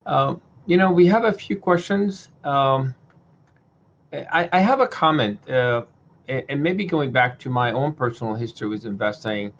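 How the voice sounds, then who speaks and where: A man in his sixties from Syria, hosting a webinar Q&A, talking hesitantly with many pauses and fillers. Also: mid-range voice, animated. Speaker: webinar host. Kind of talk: casual remarks in Q&A. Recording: dull lo-fi audio.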